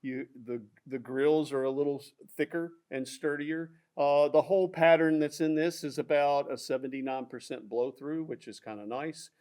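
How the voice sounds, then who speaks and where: A man explaining loudspeaker designs in a technical product discussion, halting with fillers and restarts. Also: on the high side, steady tone. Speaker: audio product specialist.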